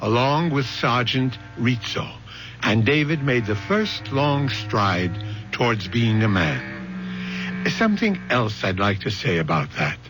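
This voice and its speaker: Slow, theatrical male radio host over music. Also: medium pitch, very animated. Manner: measured, theatrical, solemn. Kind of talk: scripted 1970s radio narration.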